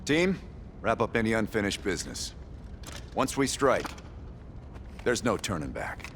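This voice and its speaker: An actor playing a terse, commanding CIA field team leader in a video game cutscene. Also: male voice, fairly low pitch, big pitch swings, a little slow, slight background noise, heavily acted. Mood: grave briefing. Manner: clipped, commanding. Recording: loud cinematic music behind.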